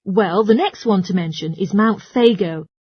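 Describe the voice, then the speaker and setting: A woman's voice: medium pitch, moderate pace, a little mellow, husky, dry recording, natural voice. A woman playing a helpful, studious geography student in a scripted listening-test dialogue. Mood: studious, explaining.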